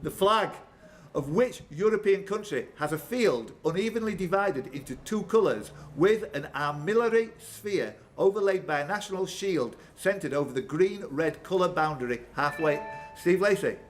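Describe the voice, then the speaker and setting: A man works as a quizmaster, his voice pitched a little high. He reads out questions and calls on players in an excitable, bantering way. The live pub recording carries some background noise. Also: moderate pace, hardly any pauses. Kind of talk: live quiz hosting, reading questions and calling players by name. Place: pub room with players around.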